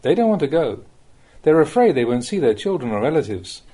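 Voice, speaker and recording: A man reading a Buddhist Dhamma talk aloud as a monk, in the measured, plain-spoken way of a teacher, with rhetorical emphasis. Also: a little high, big pitch swings, dark tone.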